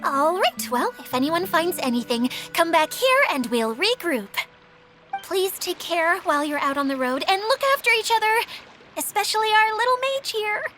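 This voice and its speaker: A woman's voice: very high-pitched, lively intonation, moderate pace, pushed and bright, faint music behind, exaggerated voice. A woman voicing a timid, polite and self-doubting mascot caretaker in a video game, speaking in a hesitant, apologetic way. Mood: earnest, polite.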